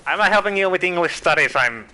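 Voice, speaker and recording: A man with a Finnish accent giving casual, chatty commentary while streaming a game, thinking out loud and asking his viewers questions. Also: high voice, expressive, quick pace, poor audio. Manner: chatty, thinks aloud, asks viewers questions, self-correcting. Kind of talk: casual live gameplay commentary.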